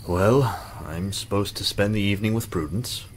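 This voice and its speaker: Man acting a deep, stiff, pedantic mage. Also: normal speed, slight background noise, lightly acted. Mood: awkward, earnest. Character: stern, formal, awkward male mage and exacting training partner. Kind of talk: visual novel character dialogue.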